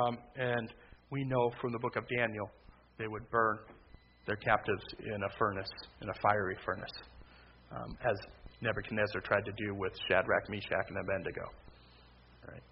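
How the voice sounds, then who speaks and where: A man preaching a sermon in a loud, conversational way, with sudden emphatic bursts. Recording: slightly dull low-fi sound with faint background noise.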